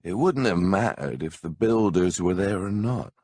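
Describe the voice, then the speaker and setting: A man with a gravelly, husky voice narrates a memoir audiobook in a laid-back, blunt, wry, conversational storytelling way on a close microphone. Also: deep voice, expressive, moderate pace, a little mellow, no echo.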